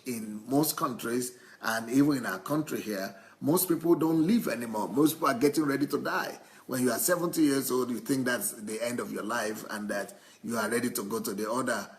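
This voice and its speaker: A man interpreting a church testimony live into English, haltingly, often repeating and rephrasing his phrases. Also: medium pitch.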